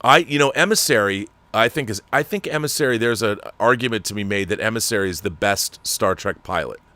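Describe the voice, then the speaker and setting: A man's voice: on the low side, fairly quick, bright, forceful tone, dry recording. A man hosting his channel and reading viewer letters, ranting with exasperation and repeating words as he talks off the cuff. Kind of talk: unscripted commentary.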